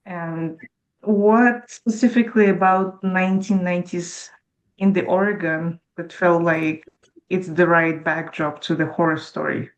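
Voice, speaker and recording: A woman's voice: lowish voice, fairly even intonation, a little slow. Woman host asking short friendly questions, kinda muffled lo-fi sound. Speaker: interviewer. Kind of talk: interview questions.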